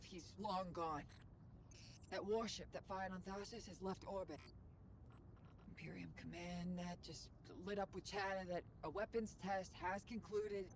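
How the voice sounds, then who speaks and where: A jaded woman crew operator with a lowish voice talks bluntly and colloquially. It is game mission dialogue with clear noise behind her. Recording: clear background noise and ambience.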